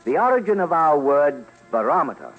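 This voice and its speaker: A male commentator delivers theatrical documentary narration with widely swinging pitch. It is an old radio recording with clear music behind him. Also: very animated, brisk, highs cut off. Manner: theatrical, emphatic. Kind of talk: dramatic scripted documentary narration.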